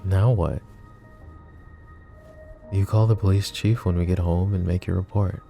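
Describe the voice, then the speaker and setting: A very low, gravelly mafia boss, acted by a man, speaks softly and deliberately with menacing devotion. He is possessive and protective, in an intimate ASMR roleplay with faint music behind him. The tone is dark and mellow, often sinking toward a whisper. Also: steady tone. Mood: calm, instructing. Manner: hushed, deliberate, tender, often dropping to whispers.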